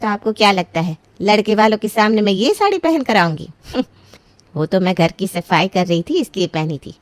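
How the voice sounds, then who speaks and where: Woman as artful middle-aged mother, mid-pitched. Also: very animated, normal speed, no room sound, close to an everyday voice. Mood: defensive.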